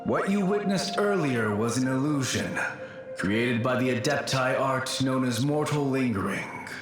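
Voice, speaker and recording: An actor voicing a solemn, melancholy male warrior spirit in a video game, formal and measured, with echo over music. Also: medium pitch, lively intonation. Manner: formal, measured, archaic phrasing. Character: solemn, melancholy ghost of a fallen yaksha warrior.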